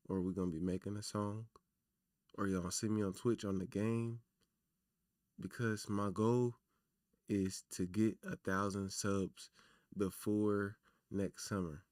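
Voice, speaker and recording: A man hosting a casual livestream, talking loosely and chattily to his viewers with a naturally raspy voice. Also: lowish voice, little pitch movement, unhurried, long pauses, a little mellow, dry recording, clean studio sound. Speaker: livestream host.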